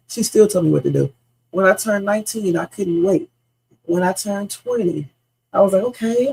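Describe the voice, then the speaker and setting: A woman in her fifties talks off the cuff on a livestream with a gravelly edge and a husky, rough texture. She is blunt and salty and drifts from story to story before landing on advice. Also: on the low side, mellow, low-quality recording. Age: 50s. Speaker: livestream host. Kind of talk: rambling casual livestream chat.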